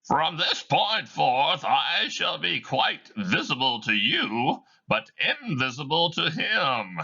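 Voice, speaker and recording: A man voicing the ghost of a dead old miser, speaking slowly in a lofty theatrical way. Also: a little high, big pitch swings, vocal fry, sharp tone, slightly rough, lo-fi sound, voice pushed up, heavily acted. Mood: solemn. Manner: slow, drawn-out, lofty theatrical delivery.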